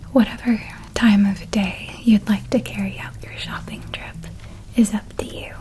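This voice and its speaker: Woman as a softly whispering, gentle witch guide. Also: medium pitch, close to an everyday voice, low-quality recording. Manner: hushed, soothing, unhurried storytelling. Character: gentle, reassuring witch guide helping a young academy student. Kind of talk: whispered ASMR roleplay.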